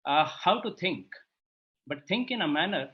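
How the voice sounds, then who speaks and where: A man's voice: on the high side, animated, measured pace. A man with an Indian accent sharing reflections as a participant in an online discussion, with dull lo-fi sound. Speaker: panel participant. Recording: dull lo-fi online call audio.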